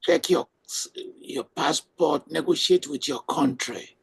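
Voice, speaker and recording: A man hosting a panel discussion as moderator, giving brisk directions to speakers. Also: a little high, vocal fry, breathy edge. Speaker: host and moderator. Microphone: video call.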